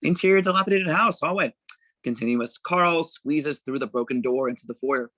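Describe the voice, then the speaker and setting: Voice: mid-range voice, normal speed, a bit bright, no echo. A narrator reading screenplay stage directions aloud for a table read in a plain, descriptive manner.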